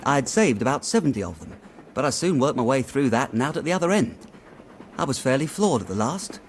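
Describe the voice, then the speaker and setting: An actor playing an earnest, worried young city clerk in a radio drama, chatty and slangy but deferential. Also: male voice, medium pitch, big pitch swings, brisk, slight background noise, close to an everyday voice. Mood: earnest, downcast. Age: young adult.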